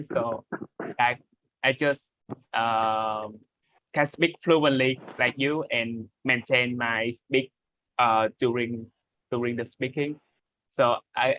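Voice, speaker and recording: A man's voice: mid-range voice, a bit bright, no room sound, low-quality recording. A man from Vietnam, learning English on a video call from his room, hesitant and full of fillers. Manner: hesitant, many fillers, nervous laughs. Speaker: English learner in an online class.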